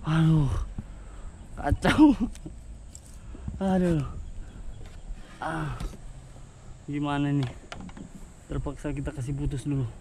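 A young man fishing from a kayak at sea, exclaiming and groaning excitedly as he talks to his action camera. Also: on the high side, slow pace, pauses often. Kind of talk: casual first-person fishing vlog. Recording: outdoor wind and water noise.

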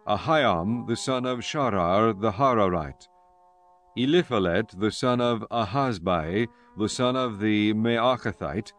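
Low-voiced male scripture narrator. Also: fairly bright tone. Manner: measured, formal. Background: faint music.

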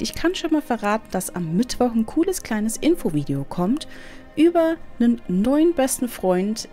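A woman's voice: on the high side, mellow. A woman hosting a let's play with casual commentary, her voice a little stuffy from a cold. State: slightly congested from a cold. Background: background game music.

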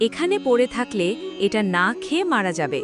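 A woman voicing a kind-hearted, eager young village girl in a cartoon, with an earnest, expressive manner. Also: a little high, brisk, over music. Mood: concerned, tender. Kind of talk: cartoon dialogue acting.

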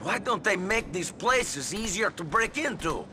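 Sardonic male crook, Eastern European accent, terse, deadpan, fairly high pitch, very noisy street backdrop. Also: a little slow. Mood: grumbling. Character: dry, unimpressed immigrant male criminal from Europe. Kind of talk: video game dialogue. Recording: noisy car and street sounds behind.

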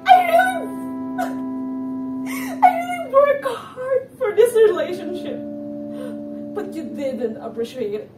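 A woman's voice: extremely high pitch, expressive, slow pace, long pauses, far from the mic, full character voice. A young woman playing a pleading, bitter jilted girlfriend in a stage play, theatrical and emotional. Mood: anguished, accusing. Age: young adult. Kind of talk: amateur stage play acting. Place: indoor living-room stage set. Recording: echoey stage from afar, music behind.

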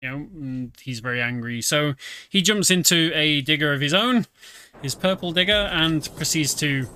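A man's voice: a little high, moderate pace, pushed and bright, clear, resonant tone. A man casually commentating a video game stream, explaining the story as he plays. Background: game music.

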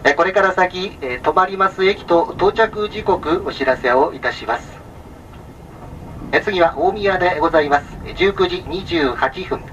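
Man, train conductor, formal polite announcement, distant onboard loudspeaker, vehicle noise behind. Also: high-pitched, animated, lo-fi sound. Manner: formal, polite, measured listing with small filler sounds. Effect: public address speaker. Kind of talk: onboard public address announcement. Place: inside a moving sleeper train, over its speakers.

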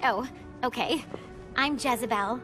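A woman's voice: a little high, a little slow, bright, forceful tone, faint music behind. A woman playing an earnest, exasperated young devil princess in a video game, casual and candid. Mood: polite, hesitant. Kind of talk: video game cutscene acting.

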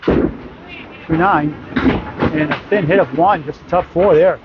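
A man's voice: fairly high pitch, takes it slowly. A man announcing a bowling tournament live, reading off scores and bowler stats in a matter-of-fact way from inside a bowling alley. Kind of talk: live sports commentary. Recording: dull lo-fi sound with loud alley noise.

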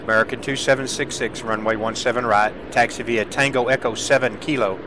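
Male game streamer, middle-pitched, controller-style calls and casual asides, faint airport ambience behind. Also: a little fast. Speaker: streamer playing air traffic controller. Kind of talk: gameplay commentary and radio-style controller calls. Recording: steady background noise from game ambience.

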